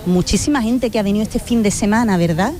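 A woman reporting live from a beach bar, lively and chatting with the people she interviews. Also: mid-range voice, fast talker. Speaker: radio reporter.

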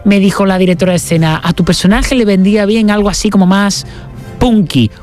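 A man with an accent from Spain talking casually as an interview guest, telling stories in an expressive, colloquial way. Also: high voice, brisk, soft background music. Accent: Spanish from Spain. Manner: chatty, expressive storytelling with colloquial slang. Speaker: interview guest, pianist and actor. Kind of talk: casual reflective conversation.

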